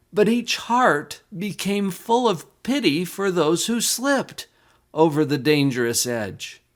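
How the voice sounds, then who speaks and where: A man reciting a poem in a deliberate, expressive storytelling manner. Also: fairly high pitch, vocal fry. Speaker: poem reader. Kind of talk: dramatic poetry recitation.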